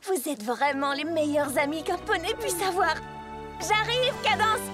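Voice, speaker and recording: A woman's voice: extremely high pitch, unhurried, loud music behind the voice. A woman playing an earnest, eager and slightly anxious young female pony in a cartoon dub. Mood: happy. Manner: earnest, excitable, expressive. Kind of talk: animated cartoon dub acting.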